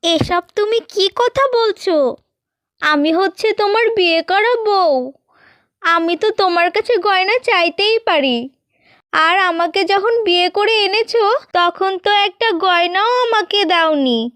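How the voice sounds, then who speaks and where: A woman's voice: extremely high pitch, a little slow, a bit bright, dry recording. A woman voicing a bitter, demanding young wife in a cartoon, indignant and reproachful. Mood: indignant, regretful. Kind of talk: scripted cartoon dubbing.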